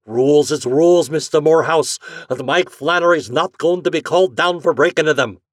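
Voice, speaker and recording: A man's voice: on the high side, sing-song, unhurried, no room sound, studio quality, pitched above the performer's own voice. A man putting on a stubborn Irish express agent. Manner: loud, stubborn, thick dialect.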